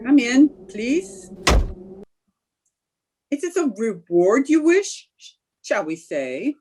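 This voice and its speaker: A melodramatic foreign femme fatale acted by a woman from a radio mystery. The voice is high and mellow, drawn out slowly in formal, theatrical phrases. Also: big pitch swings, a little hiss or hum. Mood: suspicious, haughty. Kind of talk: old-time radio drama acting.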